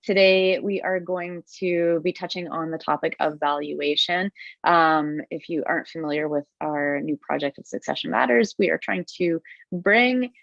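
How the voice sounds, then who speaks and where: Host, woman: very low and gravelly voice, upbeat, on a slightly dull video call line. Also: dry recording. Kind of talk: webinar interview.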